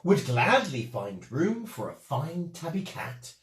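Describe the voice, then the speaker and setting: A man voicing a kindly female cat, a wife and mother in a storybook, with a gentle lilt. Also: on the high side, big pitch swings, takes it slowly. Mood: kindly offering. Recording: echoey room.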